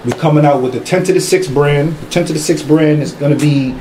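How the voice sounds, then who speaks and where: A man's voice: on the high side, fairly quick, warm tone. A man, a guest musician, talking loosely and at length about his album, often repeating and restarting phrases. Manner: loose, rambling, repeats himself. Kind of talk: casual podcast talk. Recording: echoey room with faint noise.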